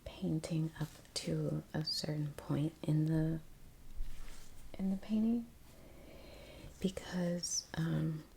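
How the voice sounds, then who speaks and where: A woman speaking in a soft, breathy half-whisper, chatting casually and haltingly in an ASMR style as she paints. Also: low voice, steady tone, slight background noise. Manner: unhurried, halting, trailing pauses. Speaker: ASMR painting video creator. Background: soft brush sounds.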